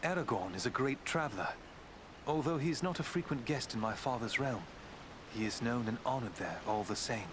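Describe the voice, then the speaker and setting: Guy as a solemn elf prince in a fantasy game, courtly and measured, mid voice, noisy ambience behind. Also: medium pitch, lively intonation, close to an everyday voice. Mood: courteous, curious. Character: male woodland elf prince, noble, composed, solemn. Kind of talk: fantasy video game character acting. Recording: clear background ambient noise.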